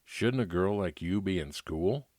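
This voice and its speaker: A man playing a weary, nostalgic private investigator, narrating an old-time radio detective drama in a measured, reflective way. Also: low voice, animated, darkish tone, dry recording. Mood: startled, gruff.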